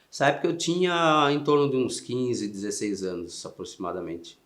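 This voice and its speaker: A man in his fifties from São Paulo with a São Paulo accent, answering interview questions in a soft, halting way with frequent "né" tags. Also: mid-range voice, big pitch swings. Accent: São Paulo Portuguese. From São Paulo, Brazil. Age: 50s. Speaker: interview guest, Paralympic swimmer.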